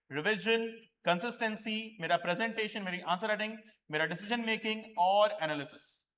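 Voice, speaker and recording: High, bright-voiced Indian teacher. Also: male voice. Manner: slows down and stresses the English phrases, addresses viewers directly. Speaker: UPSC coaching teacher. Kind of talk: explanatory lecture, mixing Hindi and English.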